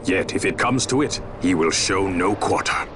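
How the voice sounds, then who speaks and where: An actor playing a worldly, wary adventurer in a fantasy game, cool and eloquent with archaic phrasing. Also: male voice, low-pitched, lively intonation, moderate pace, noisy background. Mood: cautioning. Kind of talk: fantasy game cutscene acting.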